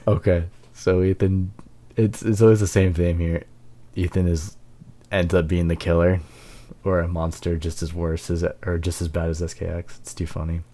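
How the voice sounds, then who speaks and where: A man's voice: low voice, flat delivery, normal speed, dark tone. A man hosting with casual, self-mocking commentary, irreverent and sweary. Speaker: host.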